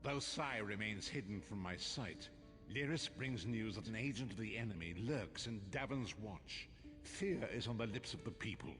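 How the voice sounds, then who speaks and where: An actor voicing a wise old blind prophet in a fantasy video game, grave and cryptic, speaking in solemn, formal phrases. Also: male voice, lowish voice, expressive, moderate pace, fairly bright tone. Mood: grave warning. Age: older. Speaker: quest-giving mentor in a fantasy game. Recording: long hall reverb, game music underneath. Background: game music.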